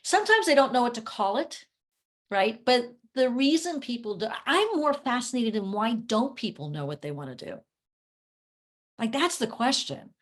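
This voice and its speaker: A woman's voice: on the high side, big pitch swings, moderate pace. A woman speaking conversationally as a guest coach on a video call, explaining things in an earnest, reflective way. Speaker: guest, coach working with clients. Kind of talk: conversational interview.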